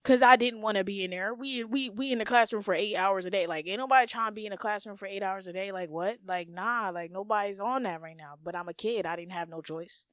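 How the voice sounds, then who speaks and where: A woman's voice: mid-range voice, fast, hardly any pauses, no echo, clean studio sound. A young American woman from a Haitian family hosts a solo podcast, talking bluntly and profanely and repeating her points for emphasis. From United States, Haitian family. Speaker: podcast host. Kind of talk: casual solo podcast monologue.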